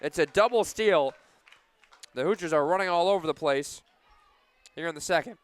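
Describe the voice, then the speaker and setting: A man calling live softball play-by-play for radio, measured between pitches and animated on big plays.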